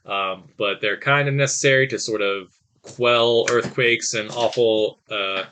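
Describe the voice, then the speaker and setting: A man's voice: medium pitch, steady tone, unhurried, bright, forceful tone, slight background noise. A man co-hosting a podcast, giving reviews in an informal, opinionated, conversational way. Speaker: podcast co-host. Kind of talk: casual review chat.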